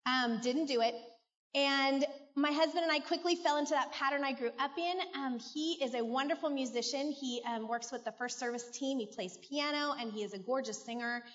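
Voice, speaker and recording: A woman's voice: sits high, brisk, fairly bright tone. A middle-aged American woman from California giving a candid, heartfelt personal testimony to a church audience. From California, United States. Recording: muffled, echoey large hall.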